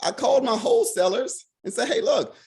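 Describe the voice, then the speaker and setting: A man leading a financial sales team on a video call, hoarse from being sick, talking fast and repeating "right?" over and over. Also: extremely high pitch, sing-song, a little mellow. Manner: fast, repetitive, peppered with "right?". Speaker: financial services team leader. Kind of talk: motivational talk on a video call.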